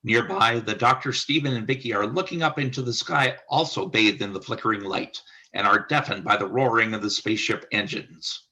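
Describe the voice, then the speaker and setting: A man's voice: on the low side, brisk, few pauses, bright, forceful tone, low-quality recording. A man reading scripted stage-direction narration for a radio play.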